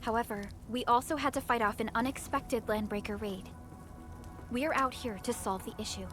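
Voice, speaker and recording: A woman's voice: a little high, normal speed, close to an everyday voice. A woman voicing a composed, analytical young supervisor in video game story dialogue. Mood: composed, decisive. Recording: music behind the voice.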